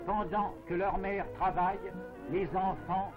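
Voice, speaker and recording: Guy doing old 1940s propaganda film voiceover, pretty high voice, formal n measured, music behind him. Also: steady tone. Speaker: newsreel narrator.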